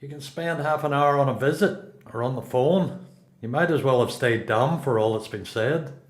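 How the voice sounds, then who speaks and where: A man's voice: mid-range voice, normal speed, close to an everyday voice. A man with a Belfast accent playing a seasoned prisoner in a radio play, a blunt mentor who talks in terse, instructive lines. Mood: earnest, urging. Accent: Belfast Northern Irish.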